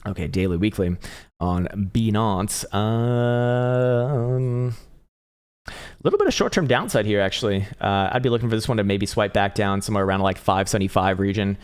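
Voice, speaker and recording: A man hosting a live crypto trading stream, talking loosely and profanely with halting restarts as he reads viewer chat, his voice getting strained and hoarse. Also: lowish voice. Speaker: crypto trading livestream host. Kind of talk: casual live-stream chart commentary.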